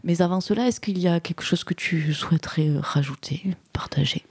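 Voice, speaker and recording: A woman radio host who gently asks her guest questions. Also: fairly low pitch, brisk, creaky, slightly rough, no echo. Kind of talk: radio interview.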